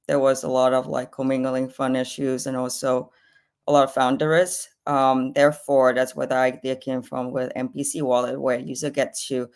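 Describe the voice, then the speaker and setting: A woman from Vietnam, a native Vietnamese speaker, introducing her company in a casual, informal manner. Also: very deep voice. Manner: casual, frequent "like". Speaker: company representative.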